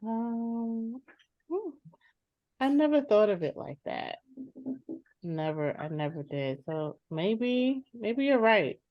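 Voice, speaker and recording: A woman in her thirties playing a practical homebody older sister in a therapy role-play, halting and reflective. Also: on the high side, very animated, dry recording, muffled recording. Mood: reflective, unsure. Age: 30s.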